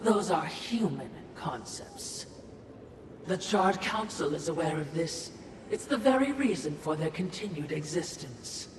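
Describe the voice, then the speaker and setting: A woman's voice: sits low, expressive, unhurried. A woman playing a sly, philosophizing supernatural watcher in a video game, wordy, insinuating and falsely deferential. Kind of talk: dramatic video game voice acting. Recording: echoey, distant, noisy ambience.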